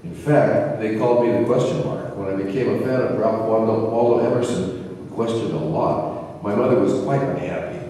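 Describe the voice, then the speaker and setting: A man's voice: lowish voice, moderate pace, mellow, lightly acted. A man playing a genial, inquisitive elderly spiritual teacher in an amateur radio play. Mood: reflective, assured. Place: large reverberant hall. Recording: very echoey hall, distant mic, faint noise.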